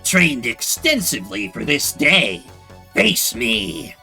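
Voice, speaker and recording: A man's voice: fairly high pitch, sing-song, slow, creaky, bright, forceful tone, soft background music, exaggerated voice. A man voicing a pompous, impatient gamer nerd who trades in stilted, formal phrases with a harsh, nerdy voice. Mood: challenging. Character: pompous, haughty, socially awkward male gamer nerd trader.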